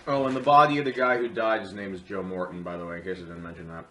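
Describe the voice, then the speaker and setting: A man's voice: low voice, steady tone, quick pace, pushed and bright. A man giving a comedic film review with sarcastic, theatrical delivery. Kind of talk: comedic scripted review commentary. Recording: echoey room.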